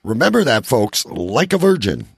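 A man's voice: medium pitch, big pitch swings, normal speed, creaky, slightly rough, no room sound. A man hosting a casual radio talk show in a rambling, joking way.

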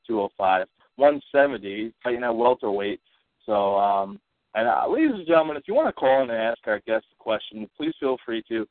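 A man with a stuffy, congested voice hosting a casual call-in podcast, talking in halting bursts full of fillers and restarts. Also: medium pitch, no echo. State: stuffy from allergies and a cold. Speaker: podcast host. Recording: tinny dry phone line.

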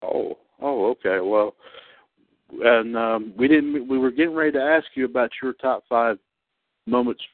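A man in his thirties hosting a casual online radio show about wrestling. He talks haltingly, with many fillers and restarts. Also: medium pitch, no room sound. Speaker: podcast host. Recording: tinny phone-line sound, dry.

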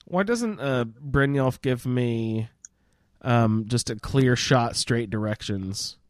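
A man's voice: medium pitch, slow, no echo, studio quality. A man hosting a podcast, chatting casually in a roundtable about a video game. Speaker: podcast host.

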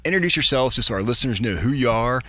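A man with a bright, forceful voice of medium pitch co-hosts a radio interview. He is casual and effusive, doubling up on phrases, and sounds close and dry in the studio. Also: expressive, very fast, no echo. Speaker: radio show co-host. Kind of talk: casual upbeat interview chat.

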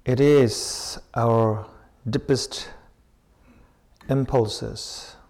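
A man leading a guided meditation as a teacher, speaking in short, unhurried phrases with long pauses between them. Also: mid-range voice, fairly even intonation, a little mellow. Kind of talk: slow guided meditation with spoken reflections.

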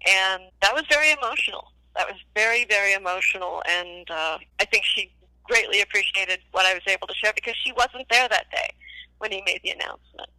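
A woman's voice: mid-range voice, brisk, sharp tone, no echo. An older woman chatting as an interview guest over a phone-like line, effusive and repeating phrases for emphasis. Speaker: interview guest, former child actress. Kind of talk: casual interview chat. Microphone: remote call.

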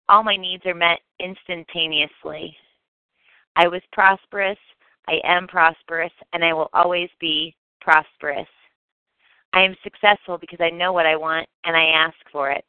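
A woman reciting affirmations for a guided meditation in measured, declarative sentences. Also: lowish voice, dry recording. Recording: dull, close, dry recording.

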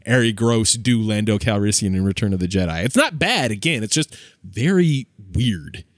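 A man's voice: fairly low pitch, lively intonation, moderate pace, no room sound, clean studio sound. A man on a podcast panel chatting casually, with a wordy, analytical way of talking. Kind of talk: casual discussion.

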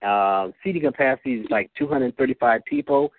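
A man, a singer, speaking as a radio guest, hesitant with many pauses and fillers. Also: mid-range voice, dry recording. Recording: tinny phone line.

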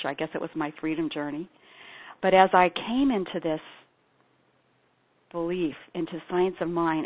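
A middle-aged woman, a spiritual practitioner and singer-songwriter, telling her personal story in an earnest, heartfelt way. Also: on the low side. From Ethiopia. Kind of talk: personal testimony talk. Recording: tinny phone-like sound.

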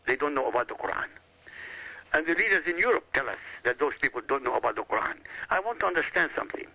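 A man who grew up in Iraq, arguing his point hard and insistently as a radio guest. His voice is sharp and gravelly, with a husky edge. His pitch swings widely as he drives each point home. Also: no room sound, low-quality recording. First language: Arabic. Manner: emphatic, insistent and combative. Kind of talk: argumentative radio talk.

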